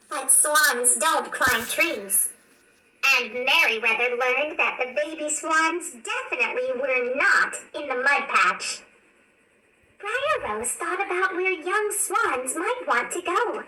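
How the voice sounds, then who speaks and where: Animated high-pitched woman reading a storybook. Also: slow pace. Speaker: storybook narrator. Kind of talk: scripted children's read-along narration. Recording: roomy, distant, faint background noise.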